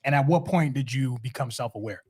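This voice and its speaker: A man from Miami hosts a loose podcast conversation at an ordinary male pitch. He is laid-back and chatty, tossing out questions and checking in with his friends as he goes. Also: brisk, a little mellow, slight background noise. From Miami, United States. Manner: laid-back, asks questions, often says "you know what I'm saying". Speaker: podcast host. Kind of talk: casual podcast chat.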